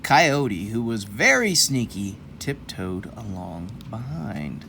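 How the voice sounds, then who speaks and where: A man's voice: lowish voice, big pitch swings, slow, gravelly, sharp tone, slight background noise. A man reading a children's story aloud in a folksy, rambling way, breaking off for casual, jokey asides. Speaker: host of a children's read-aloud show.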